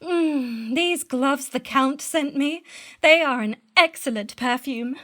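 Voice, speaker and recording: An actress playing a sweet, excitable young noblewoman in a Shakespeare radio play, girlish in manner. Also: high voice, takes it slowly, heavily acted. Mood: excited, fretful.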